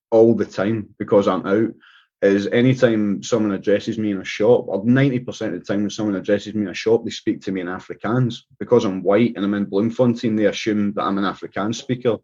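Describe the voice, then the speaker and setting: A man's voice: fairly low pitch, steady tone, brisk, a little mellow. Rambling Scottish man on a lo-fi line. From Scotland. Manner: rambling, many restarts and fillers. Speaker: guest. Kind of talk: casual interview talk. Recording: dull lo-fi sound.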